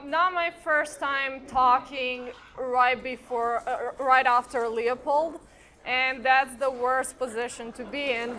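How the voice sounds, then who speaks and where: A woman speaks in a high, bright voice at an unhurried pace. She is giving an informal talk at a live event, addressing the audience conversationally. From Croatia and Serbia. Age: 30s. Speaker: conference speaker. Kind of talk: informal conference talk with personal anecdotes. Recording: live event with background noise.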